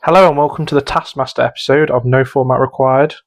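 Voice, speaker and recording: A man's voice: medium pitch, fairly even intonation, quick pace, soft background music. A British man co-hosting a podcast with casual, chatty banter. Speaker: podcast co-host.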